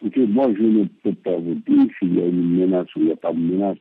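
A man with a low, gravelly, husky voice answers over a phone-like line, and faint music plays behind him. His pitch swings widely as he explains his points didactically. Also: fairly even intonation, fast. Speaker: government minister. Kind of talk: debate answer.

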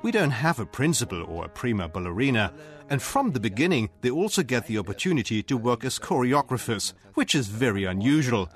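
A man's voice: medium pitch, big pitch swings, brisk, hardly any pauses, faint music behind. A man, a company manager, explaining his program in an interview-style voice-over.

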